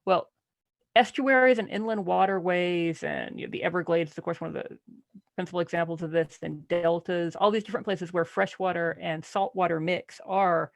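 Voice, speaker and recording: A podcast panelist with a creaky voice and a close, dry sound, talking casually about a book. The delivery is animated and incredulous, circling back through questions and restarts. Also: medium pitch, normal speed, dry recording.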